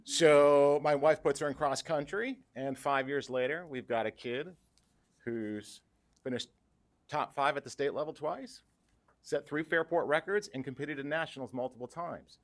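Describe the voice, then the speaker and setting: A man in his fifties from Western New York, a parent making a loud, animated public comment at a school board meeting, standing back from the podium mic. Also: a little high, normal speed. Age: 50s. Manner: loud, animated, numbers-driven speech. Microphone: podium mic, stepped back from it.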